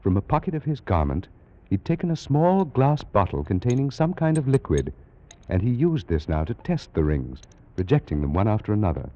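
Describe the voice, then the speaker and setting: An actor playing an astonished gentleman student of Egyptology, narrating and speaking dramatically in an old-time radio drama. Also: fairly low pitch, very animated, a little fast. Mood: hushed awe. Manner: dramatic, stammering when startled. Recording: muffled old radio recording.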